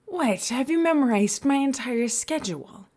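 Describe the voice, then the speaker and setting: A woman's voice: high-pitched, animated, moderate pace, darkish tone, voice pushed up. A woman voicing an exasperated young male computer science student in a story, dry and teasing.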